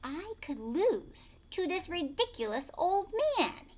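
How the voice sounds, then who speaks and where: A woman's voice: very high voice, big pitch swings, slow pace, pitched above the performer's own voice, exaggerated voice. Woman reading as a boastful, sneering young harpist. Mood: resentful. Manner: sneering, scheming. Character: boastful, scornful, selfish young man harpist. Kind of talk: read-aloud storybook character.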